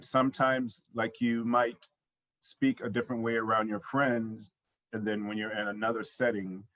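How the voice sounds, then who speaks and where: A man with a lowish voice sharing his view on a discussion panel. He speaks loosely and informally, with frequent ums and you-knows. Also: a bit bright, rough recording. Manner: loose, many fillers like um and you know. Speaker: panel guest.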